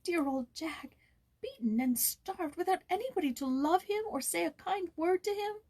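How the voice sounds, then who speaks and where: Woman as a spirited, motherly young girl, raised pitch. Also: lively intonation, moderate pace, darkish tone. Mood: tearful pity. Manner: emphatic, earnest. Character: motherly, spirited, indignant girl, older child.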